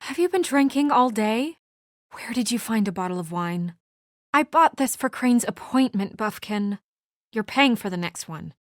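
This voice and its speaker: An actress playing a brisk, exasperated, dutiful young professional woman in a video game, speaking in a crisp, earnest, businesslike way. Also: fairly high pitch, lively intonation, no echo, studio quality, natural voice. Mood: scolding, annoyed.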